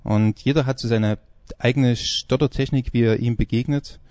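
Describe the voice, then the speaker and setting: Halting male radio presenter, dry studio sound. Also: mid-range voice, steady tone, darkish tone, no echo. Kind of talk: informal spoken presentation on a music radio show.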